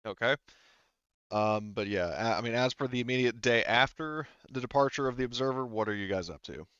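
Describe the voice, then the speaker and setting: A man acting as game master, narrating a tabletop game in a casual, halting, improvised way and swearing freely. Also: mid-range voice, fairly bright tone, smooth, dry recording, dull audio.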